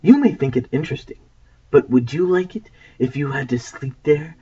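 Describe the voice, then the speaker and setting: A woman doing a breathy, sulky young boy. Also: expressive, moderate pace, dark tone, muffled recording, full character voice. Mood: hushed, spooky. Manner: sulky and wound up, voice drops low when spooked. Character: grubby, miserable young boy.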